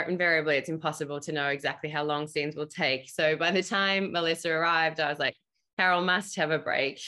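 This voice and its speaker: Woman, slightly low pitch, bright tone, animated casual storytelling, director, video call. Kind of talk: casual panel anecdote. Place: indoors at home on a video call. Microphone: video call microphone.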